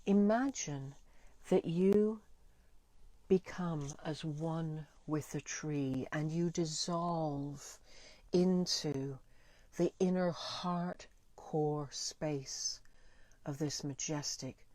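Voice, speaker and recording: A woman leading a guided meditation in a soothing, deliberate way, pausing between short phrases. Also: low voice, dark tone, no echo. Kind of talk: guided meditation, gentle spoken visualisation.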